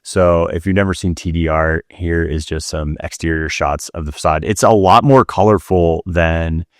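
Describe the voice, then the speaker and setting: A man with a low voice talks casually as a podcast co-host. The sound is dry, with very little room in it, and the delivery is conversational. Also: normal speed, no room sound. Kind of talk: casual chatty discussion of listener questions.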